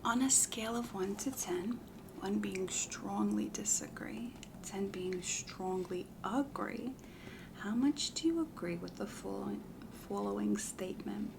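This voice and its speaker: Creaky whispering matchmaker lady, played by a woman. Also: medium pitch, a little slow, background noise. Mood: patient, businesslike. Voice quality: breathy whisper with heavy creak. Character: self-assured, chatty Long Island matchmaker woman. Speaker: host of comedy ASMR roleplay. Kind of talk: soft-spoken whispered ASMR roleplay questionnaire.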